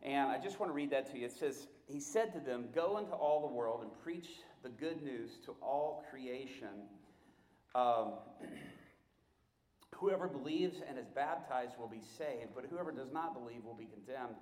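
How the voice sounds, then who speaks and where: A middle-aged man giving an informal teaching talk as a church workshop teacher, conversational and halting, often restarting and repeating himself. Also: mid-range voice, lively intonation, a little mellow. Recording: echoey room.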